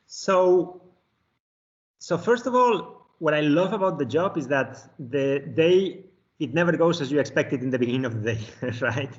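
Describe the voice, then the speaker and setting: A man in his forties from Spain with a Spanish accent, giving relaxed interview answers with frequent chuckles and fillers. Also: fairly high pitch, measured pace, muffled recording. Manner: chuckles often, many fillers and restarts. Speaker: guest, finance executive.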